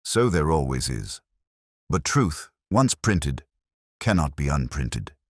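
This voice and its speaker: A man voicing a grave, brilliant gentleman detective in a storybook, formal, measured and oratorical. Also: a bass voice, sing-song, no echo, studio quality, exaggerated voice. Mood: solemn, reflective.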